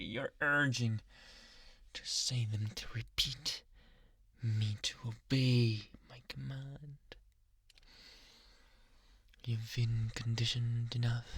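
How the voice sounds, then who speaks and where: A man voicing a possessive, obsessive yandere doctor in an anime ASMR boyfriend roleplay, speaking softly and coaxingly, often in whispers. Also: medium pitch, gravelly, no echo, studio quality, exaggerated voice. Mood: controlling, menacing, then intimate, coaxing. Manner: soft, intimate, coaxing, often dropping into whispers. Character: possessive, obsessive male yandere doctor, sweetly menacing lover. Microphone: close microphone.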